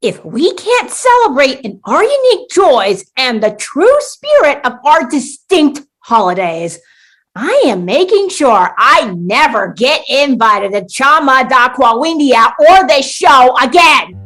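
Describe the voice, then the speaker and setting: A woman's voice: high-pitched, sing-song, takes it slowly, a bit bright, exaggerated voice. A woman playing an earnest, defiant, dramatic holiday mascot in a radio play, with a heartfelt theatrical delivery.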